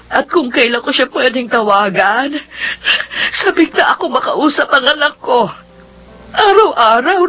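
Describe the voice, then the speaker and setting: A woman's voice: sits high, pushed and bright, slightly rough, heavily acted, low-quality recording. An actress playing an anxious, emotional middle-aged mother pleading for her son in an audio melodrama. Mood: tearful pleading. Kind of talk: melodramatic audio drama acting.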